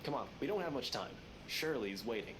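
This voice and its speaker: A man's voice: mid-range voice, big pitch swings, fairly quick, background noise, natural voice. An actor playing a brusque young man in a visual novel, dry, defensive and conversational. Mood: dry, teasing. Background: game music.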